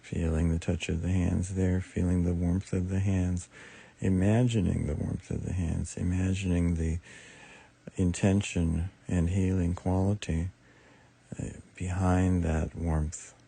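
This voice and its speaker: A man leading a guided meditation as teacher, speaking soothingly in short, unhurried phrases with long pauses. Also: low voice, little pitch movement, creaky, dark tone, husky. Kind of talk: guided meditation, improvised soft instruction.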